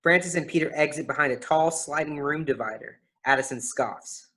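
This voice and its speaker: A man's voice: on the high side, moderate pace, pushed and bright. A man reading stage directions as scripted narration at a play reading.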